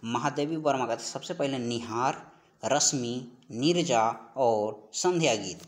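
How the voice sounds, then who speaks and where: A man giving an instructional lesson as an exam-prep teacher, working through questions and repeating key points and answers. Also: mid-range voice. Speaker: teacher of Hindi for exam preparation.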